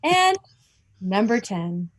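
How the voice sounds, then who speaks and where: A woman's voice: medium pitch, very animated, slow pace. A woman chatting casually and reading out quiz answers as a club member on a video call.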